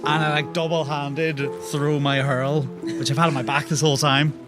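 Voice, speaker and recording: A man playing a tabletop game, describing his character's actions in a casual, comic and animated way. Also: fairly high pitch, moderate pace. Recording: music behind the voice.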